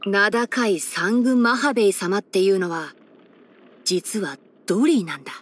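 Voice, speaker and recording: A woman plays a young fox-eared ranger boy from a video game, with a mid-pitched voice. He explains things like a sensible scholar and mentor. His delivery is composed and articulate, dryly wry yet caring. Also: normal speed, breathy edge, natural voice. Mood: guarded. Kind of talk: scripted video game character voice-over.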